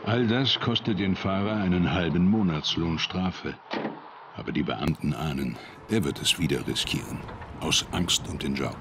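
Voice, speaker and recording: Deep-voiced male documentary narrator. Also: background noise. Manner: calm, measured voice-over. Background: music.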